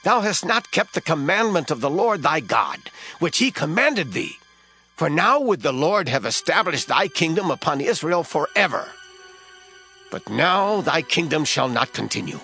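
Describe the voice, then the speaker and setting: An actor playing a stern, solemn prophet exhorting emphatically in a dramatized scripture audio drama. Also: male voice, on the high side, very animated, normal speed, pushed and bright, heavily acted. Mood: stern rebuke. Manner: emphatic, preacherly delivery. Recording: music under the voice.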